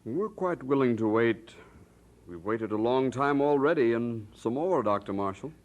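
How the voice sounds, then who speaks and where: Man acting church committeeman, formal, businesslike, persistent, medium pitch with lively swings, radio drama style. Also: normal speed, muffled recording, natural voice.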